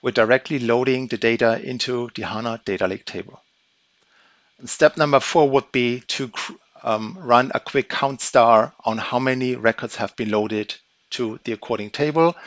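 A man giving a methodical, step-by-step walkthrough of a software demo, explaining each action as he goes. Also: medium pitch, no room sound. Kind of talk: screen-recorded software walkthrough. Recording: dry, slightly dull audio.

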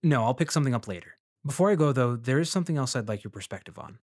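An actor playing a polite, measured freelance journalist who narrates his investigation in a fiction podcast. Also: medium pitch, animated, dry recording, studio quality, close to an everyday voice. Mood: polite, careful. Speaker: narrator and lead character.

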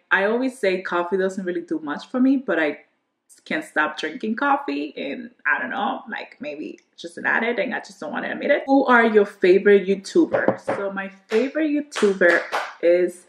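A woman's voice: on the low side, reverberant, a little hiss or hum. A woman in her twenties from the Dominican Republic, a native Spanish speaker talking with a Dominican accent and answering questions to camera in a casual, rambling way. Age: 20s. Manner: chatty, trailing restarts, gesturing with hands. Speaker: beauty vlogger. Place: bedroom at home, indoors.

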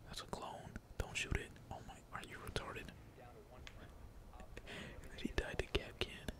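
A gamer speaks entirely in a breathy whisper, giving casual ASMR commentary on their play. The talk is slangy and peppered with swearing, while computer keys clack behind it. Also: unhurried. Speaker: gaming video creator. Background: computer keyboard typing.

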